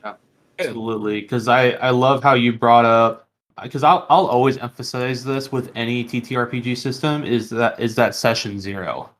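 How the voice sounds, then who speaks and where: A man's voice: medium pitch, steady tone, moderate pace, a bit bright. A man hosting a casual podcast discussion, speaking in an earnest, emphatic way and often repeating his phrases. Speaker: podcast host.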